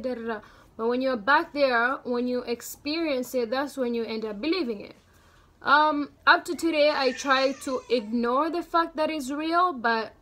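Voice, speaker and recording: A young woman from Tanzania telling stories casually to her viewers in an animated, slangy, emphatic way. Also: high voice. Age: young adult. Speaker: storytime vlogger. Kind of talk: casual chatty storytelling.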